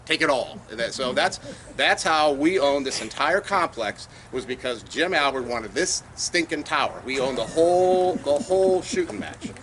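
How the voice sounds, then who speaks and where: A man's voice: fairly high pitch, expressive, normal speed, fairly bright tone, slight background noise. Man telling a story outdoors. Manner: anecdotal, acts out phone calls in quotes. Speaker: speaker at a tower dedication. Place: outdoors at a radio club site.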